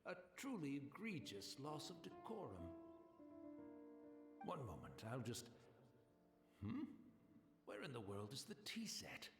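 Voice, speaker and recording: A man's voice: mid-range voice, wildly swooping pitch, unhurried, long pauses, echoey room, loud music behind the voice. An actor playing an old blind man in a video game, humble and resigned, rambling in formal, flowery phrases with sighs. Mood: flustered, apologetic. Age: older.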